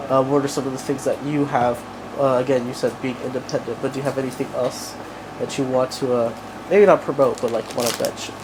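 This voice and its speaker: A man conducting a relaxed interview at a mid pitch, with filler words throughout. An air-conditioning hum runs clearly under his voice. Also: a little fast. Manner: relaxed, with lots of "like" and "y'all". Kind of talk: casual interview questions.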